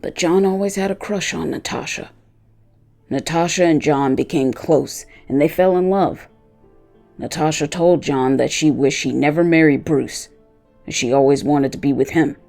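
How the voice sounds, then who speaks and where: A narrator voicing a loyal pet dog who tells his own story of wanting revenge, in deliberate, dramatic scripted storytelling. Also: medium pitch, gravelly, a little mellow, soft background music, lightly acted. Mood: recounting.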